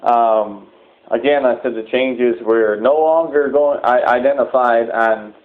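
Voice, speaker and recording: Male organization leader, mid-range voice, formal and deliberate announcement delivery, thin telephone-grade recording. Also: mid-range voice, steady tone. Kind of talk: public address, semi-scripted announcement. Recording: narrow, phone-like sound.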